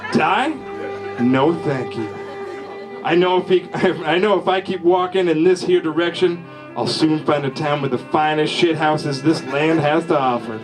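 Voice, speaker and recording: A man reading a comic first-person story aloud in a slow, folksy deadpan drawl at a live comedy show. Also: a little high, poor audio. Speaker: storyteller reading his father's short story.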